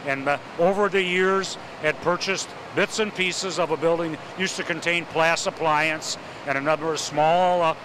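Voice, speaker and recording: A man serving as city mayor, speaking in a halting way with many uhs and restarts. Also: on the high side, steady tone, noisy background. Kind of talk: casual interview talk.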